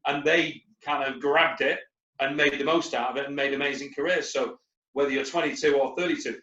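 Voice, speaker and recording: A man's voice: mid-range voice, fairly bright tone, roomy sound, dull audio. A man, a recruitment company leader, talking on a webinar panel in a rambling, anecdotal way with lots of fillers. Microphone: video call.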